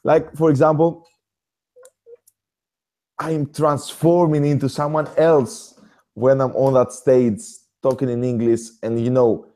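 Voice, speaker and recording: A man with a Greek accent, a native Greek speaker, hosting a casual, animated conversation. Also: medium pitch, slow pace, pauses often. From Greece. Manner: animated, swears casually, restarts sentences. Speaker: host, motivational speaker.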